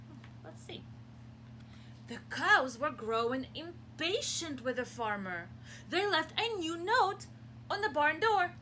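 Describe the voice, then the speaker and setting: A woman reading a picture book aloud to young children as a preschool teacher, expressively, pausing to explain simply and ask questions. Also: high-pitched, slight background noise, poor audio. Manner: expressive, asks questions and pauses for answers.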